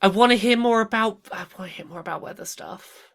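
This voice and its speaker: Relaxed woman at a tabletop game. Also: medium pitch, fairly quick, creaky. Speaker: player.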